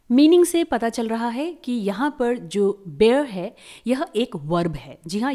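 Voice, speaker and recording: A patient woman teacher talks over lesson slides. She repeats each word slowly and clearly so learners can follow, in an even, mid-pitched voice. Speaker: English teacher. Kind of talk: explaining lesson to camera viewers.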